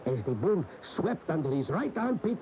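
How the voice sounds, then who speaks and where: An actor in a radio drama playing an emotional bullfight devotee, recalling his idol reverently with dramatic, halting phrases. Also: fairly high pitch, big pitch swings, mellow. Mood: reverent, awed. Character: admiring bullfight aficionado reminiscing, emotional and reverent. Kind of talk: 1980 radio drama monologue, interview-like recollection. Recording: dull, noisy 1980 AM radio recording.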